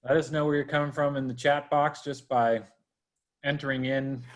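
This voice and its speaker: A man from Boston hosts a live online interview, his voice sitting at an ordinary middle pitch. He talks in a chatty, upbeat way and speaks straight to the viewers. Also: level tone, normal speed. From Boston, USA. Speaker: host. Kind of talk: livestream interview.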